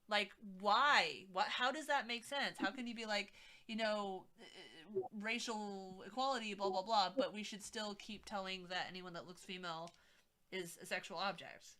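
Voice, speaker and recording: A woman's voice: medium pitch, sharp tone. A woman streamer chatting casually while she arranges craft beads, laughing often and trailing off with "I don't know.". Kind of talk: casual chat.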